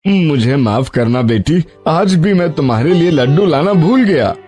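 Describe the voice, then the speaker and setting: An actor playing a poor, honest labourer and tender, sorrowful father in an animated moral story, speaking gently and earnestly. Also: on the high side, moderate pace, soft background music, lightly acted.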